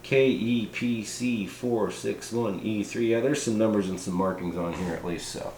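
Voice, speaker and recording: A man's voice: on the low side, fairly even intonation, normal speed, a little mellow. Casual man in an echoey room. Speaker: radio hobbyist reviewer. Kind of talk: casual hands-on product review. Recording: echoey room, noticeable background hiss.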